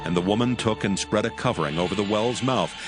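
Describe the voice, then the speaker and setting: A man narrating a dramatized scripture audiobook in a solemn, deliberate storytelling manner. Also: fairly low pitch. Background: music.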